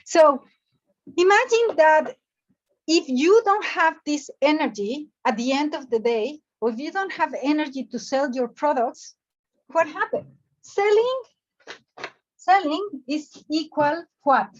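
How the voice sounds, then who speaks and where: A woman from Mexico with a Mexican Spanish accent, a native Spanish speaker, giving an animated motivational workshop over a video call and firing questions at her audience. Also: high-pitched, a little slow, dull audio. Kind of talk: energetic online workshop talk.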